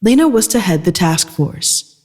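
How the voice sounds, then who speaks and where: A man's voice: sits high, big pitch swings, normal speed, a little mellow. A man narrating scripted dramatic fiction in the third person. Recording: long reverb, guitar music behind.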